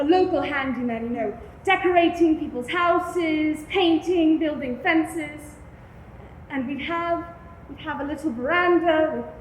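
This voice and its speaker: Free-spirited mother, warm and wistful; actress doing her, high, roomy sound. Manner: loud, coaxing, wistful. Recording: echoey room with faint noise.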